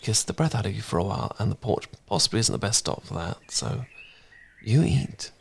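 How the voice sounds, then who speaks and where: A man playing a smitten, bashful housemate in an intimate romance audio roleplay, speaking gently and sometimes haltingly. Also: fairly low pitch, expressive, vocal fry, slight background noise. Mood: soft, affectionate. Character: smitten, bashful male housemate, tender poetry reader.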